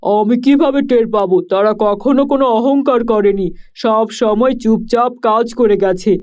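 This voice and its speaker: A man voicing a kindly, generous village landlord in a cartoon, speaking in a warm, earnest way. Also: extremely high pitch, measured pace, lo-fi sound.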